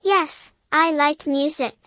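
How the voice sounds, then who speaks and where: High-pitched woman, slow English lesson narration. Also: pushed and bright, no room sound, studio quality. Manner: careful, evenly measured, simple phrases. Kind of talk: scripted beginner language drill, each sentence repeated.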